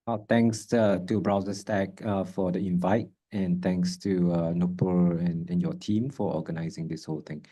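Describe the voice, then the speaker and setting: A man's voice: low-pitched, fairly even intonation, normal speed, hardly any pauses, dark tone. A man with a Singaporean accent, a guest speaker introducing himself with dry self-deprecating humor.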